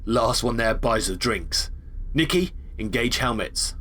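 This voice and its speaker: An actor playing a brisk, cocky soldier comrade who gives matey orders in a video game parody drama. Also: male voice, mid-range voice, expressive, bright, forceful tone, slight background noise. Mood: brisk, commanding.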